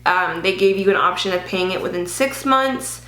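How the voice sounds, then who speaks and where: A woman's voice: lowish voice, normal speed, sharp tone. A woman talking casually in a personal vlog, with lots of fillers, occasional swearing and a slight lisp from dental aligners. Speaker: vlogger. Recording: roomy echo.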